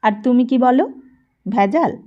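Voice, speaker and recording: A woman's voice: on the high side, animated, measured pace, lo-fi sound. A woman reading the part of an ambitious, earnest young businessman and suitor in an audiobook. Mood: confiding.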